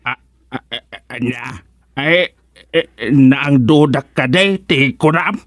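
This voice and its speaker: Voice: mid-range voice, takes it slowly, no echo, clean studio sound, natural voice. A performer playing a playful, giggling village in-law in an Ilocano radio comedy. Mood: giggly. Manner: giggly, exclaims often. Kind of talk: Ilocano radio drama acting.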